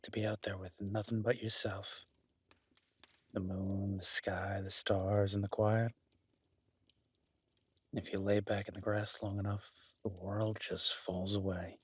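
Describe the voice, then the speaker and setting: A man as a low, husky cabin host. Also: flat delivery, measured pace, long pauses, no room sound. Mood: dreamy, hushed. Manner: teasing, soft-spoken, intimate. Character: flirtatious, teasing, protective male woodland cabin host. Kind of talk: intimate audio roleplay.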